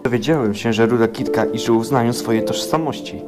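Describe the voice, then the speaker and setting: A man's voice: medium pitch, a little fast, natural voice. A man playing a wounded, distrustful teenage cat hero in a dramatic comic dub. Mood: hurt, bitter. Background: sad cinematic music.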